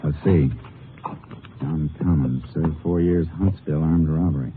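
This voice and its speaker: Deep-voiced stern Texas Ranger. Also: male voice, a little slow, background noise. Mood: matter-of-fact. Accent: American. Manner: terse, clipped, authoritative. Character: stern, steady Texas Ranger lawman, narrating his own case. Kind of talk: 1950s radio drama acting and first-person narration. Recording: muffled vintage radio broadcast with music.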